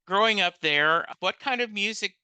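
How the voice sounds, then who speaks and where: A man hosting a podcast, upbeat and enthusiastic, reacting warmly to his guest. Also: high voice, animated, moderate pace, clear, resonant tone, dry recording. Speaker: podcast host. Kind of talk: casual interview.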